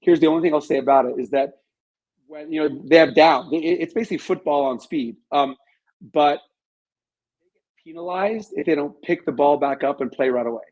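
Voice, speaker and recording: Middle-aged American male interview guest, higher-pitched, conversational and opinionated. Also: fairly quick, a little mellow, rough recording. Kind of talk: casual interview chat.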